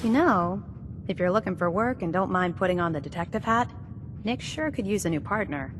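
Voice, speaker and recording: An actress playing a loyal, sassy detective agency secretary in a video game. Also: female voice, mid-range voice, lively intonation, a little fast, background noise, close to an everyday voice. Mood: grateful.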